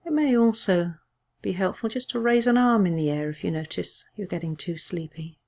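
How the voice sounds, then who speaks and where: A woman with a fairly low voice guides a body scan meditation. She speaks as a mindfulness teacher, giving gentle instructions in measured phrases. Pauses between them keep the delivery soothing and unhurried. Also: creaky.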